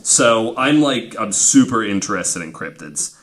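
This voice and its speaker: A man's voice: on the low side, expressive, measured pace, a bit bright. A man in his twenties hosting a podcast, telling stories in a casual, informal, sweary way full of filler "like"s. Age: 20s. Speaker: podcast host. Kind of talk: casual conversational storytelling.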